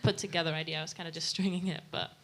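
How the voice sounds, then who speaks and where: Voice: medium pitch, steady tone, very fast. An audience member thinking aloud in a rambling way, full of "like" and half-finished thoughts.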